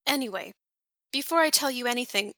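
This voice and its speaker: A woman's voice: high voice, expressive, pushed and bright, dry recording, pitched above the performer's own voice, exaggerated voice. A woman reading an audiobook, voicing a nervous, fond male demon who halts and corrects himself as he talks. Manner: halting, fumbling, self-correcting. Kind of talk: fan audiobook character voice.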